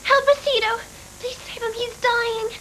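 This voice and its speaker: A woman's voice: very high-pitched, fairly even intonation, moderate pace, very noisy. A woman voicing a devoted, fierce young cyborg warrior girl in an anime dub, earnest and dramatic. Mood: desperate, pleading. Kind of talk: dubbed anime voice acting. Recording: music behind, old VHS tape dub.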